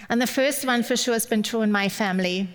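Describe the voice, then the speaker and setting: A woman's voice: fairly high pitch, quick pace. German-accented woman preaching with hall reverb. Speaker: guest speaker. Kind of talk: Mother's Day sermon.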